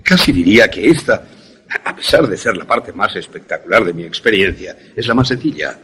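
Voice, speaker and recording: A man acts out an eccentric, proud scientist from a suspense radio play. His voice is lowish and very rough, with animated swings in pitch. He speaks formally and deliberately, like a courteous host explaining his creation. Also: exaggerated voice. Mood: explanatory, assured.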